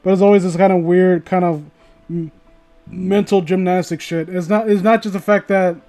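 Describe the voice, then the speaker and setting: High-voiced, tipsy male livestreamer, rambling. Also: a little mellow. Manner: rambling, profane, opinionated. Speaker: livestreamer reading online horror stories. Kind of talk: casual rambling livestream chat and story reading.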